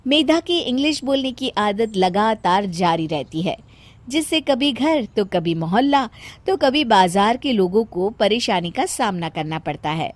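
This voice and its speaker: A woman's voice: mid-range voice, animated, measured pace, no echo. A woman narrating a scripted story as a storyteller.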